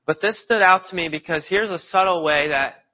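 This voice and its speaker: A man from near Philadelphia preaches in a loose, chatty way and often restarts his sentences. His voice sits a little high and he sniffles from a cold. The recording is dull and lo-fi. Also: moderate pace. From Pennsylvania, near Philadelphia. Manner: conversational, loose storytelling with restarts. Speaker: lay preacher. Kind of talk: informal sermon. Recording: dull lo-fi sound.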